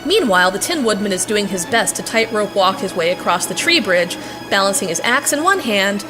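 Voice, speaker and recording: A woman playing a plucky, impatient girl heroine in an audio drama, wry and brisk. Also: mid-range voice, animated, music in the background, close to an everyday voice. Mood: narrating, tense. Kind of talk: audio drama acting with narration.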